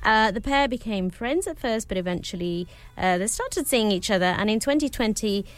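A woman hosting a casual celebrity commentary, pausing often with filler sounds as she reads out facts. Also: medium pitch, animated, no echo. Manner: hesitant, many filler sounds. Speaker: host.

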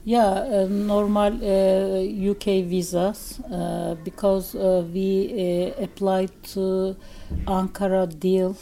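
A middle-aged woman with a Turkish accent, a guest in a radio interview, speaking haltingly in English with many pauses and fillers. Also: mid-range voice, flat delivery, dark tone, a little hiss or hum.

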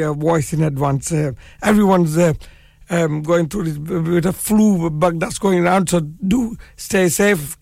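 A man hosting a live community radio show, hoarse with a cold and croaky, chatting in a relaxed way with many fillers. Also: a little high, no echo, clean studio sound. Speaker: radio presenter. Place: radio studio.

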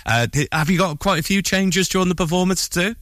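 A man's voice: high-pitched, a little fast, a bit bright, dry recording, studio quality. A man hosting a breakfast radio show, interviewing a guest backstage at a theatre in an upbeat, eager way with plenty of fillers. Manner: upbeat, enthusiastic, many fillers. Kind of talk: casual interview chat.

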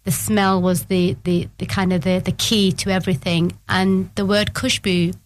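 A middle-aged woman guest on a radio interview, explaining her small business eagerly and warmly, with the odd restart. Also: mid-range voice, flat delivery, normal speed, a little hiss or hum, rough recording.

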